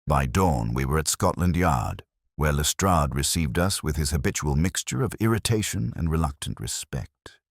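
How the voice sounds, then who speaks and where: A man narrating a scripted mystery story in a formal, measured, dramatic manner. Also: a bass voice, expressive, no room sound, studio quality. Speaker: audiobook narrator.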